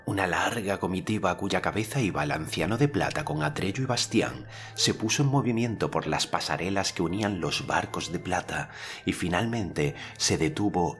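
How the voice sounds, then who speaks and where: Deep voiced guy reading a fantasy audiobook, calm and measured. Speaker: audiobook narrator. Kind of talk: scripted audiobook narration.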